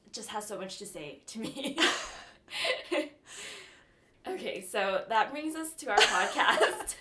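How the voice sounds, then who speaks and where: A woman's voice: mid-range voice, sharp tone, a little hiss or hum. A young woman from Southern California co-hosting a casual college radio podcast, chatty and self-mocking, with giggly asides. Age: young adult. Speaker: podcast co-host.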